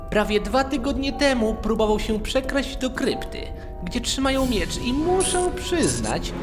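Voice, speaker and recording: A man acting a jaded, irritable, fearful slave prisoner in a fantasy audio drama, scolding and fatalistic. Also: sits high. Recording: long cavernous reverb.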